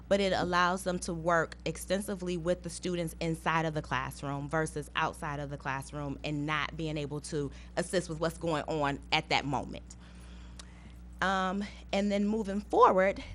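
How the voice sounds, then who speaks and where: A woman's voice: on the low side, slight background noise. A woman presenting an English learner program update to a school board, in a conversational, earnest way with frequent ums.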